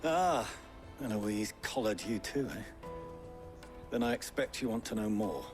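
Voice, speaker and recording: A man's voice: on the low side, animated, a little slow, music in the background. An actor playing a knowing, matter-of-fact male furniture maker in a fantasy video game, speaking colloquially. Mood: wry, knowing. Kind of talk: dramatic video game acting.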